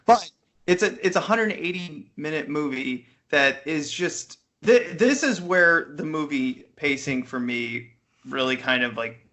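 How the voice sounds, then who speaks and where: A man's voice: on the high side, expressive, measured pace, bright, forceful tone. A man co-hosting a podcast, giving informal, opinionated takes in a casual film discussion over an internet call. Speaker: podcast co-host. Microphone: Skype call.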